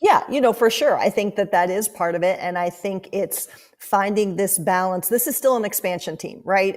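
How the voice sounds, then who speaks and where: A woman giving thoughtful sports analysis as a guest, speaking in an articulate, measured way and stressing key words. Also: medium pitch, a little mellow. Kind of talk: thoughtful hockey analysis in an interview.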